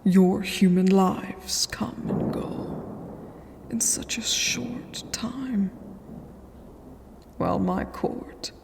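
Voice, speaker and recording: Whispering, very slow vampire queen. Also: mid-range voice. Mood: lofty, cold. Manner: formal, measured, imperious, breathy half-whisper. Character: regal, haughty, ageless vampire queen, cool and condescending. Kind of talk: fantasy ASMR roleplay. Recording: long cavernous reverb.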